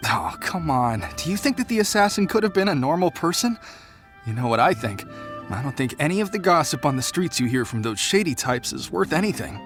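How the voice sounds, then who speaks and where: A conspiratorial townsman in a fantasy game, pitched a little high. He is chatty, opinionated and knowing, with clear music behind the voice. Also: big pitch swings, a little fast, fairly bright tone. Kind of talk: video game voice acting. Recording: prominent background music.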